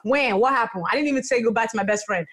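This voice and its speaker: A woman's voice: a little high, quick pace, fairly bright tone. A woman podcast host, from Nigeria, chatting and laughing, acting out what she said as a child. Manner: chatty, laughing, mimics past self. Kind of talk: casual interview chat.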